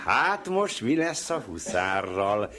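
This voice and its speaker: A cheeky chess rival in a cafe sketch, lowish voice that jumps around a lot. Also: male voice, big pitch swings, bright, forceful tone. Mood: teasing. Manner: teasing, stammering wordplay, theatrical. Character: blustering male coffeehouse chess rival. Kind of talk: comic radio sketch acting.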